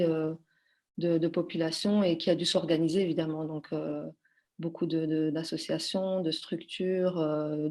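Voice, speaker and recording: A woman's voice: low voice, mellow. A woman from Belgium, a podcast guest, talking in a hesitant conversational way with many fillers and restarts. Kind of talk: conversational interview.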